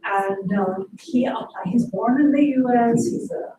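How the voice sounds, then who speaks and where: Higher-pitched Pakistani-born woman in a noisy room. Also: measured pace. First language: Urdu. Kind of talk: casual chat. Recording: roomy, distant mic, noisy background.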